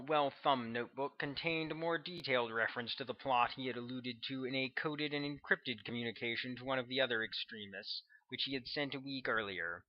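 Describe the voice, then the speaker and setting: Man narrating an audiobook, dull lo-fi sound. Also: medium pitch. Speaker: author reading own audiobook.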